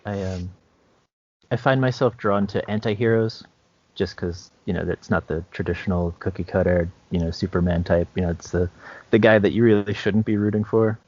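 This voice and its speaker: A man co-hosting a podcast, talking casually in a halting, measured way with pauses. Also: deep voice, steady tone, a little mellow, dry recording, muffled recording. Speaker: podcast co-host. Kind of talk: casual chat about tabletop roleplaying and comics.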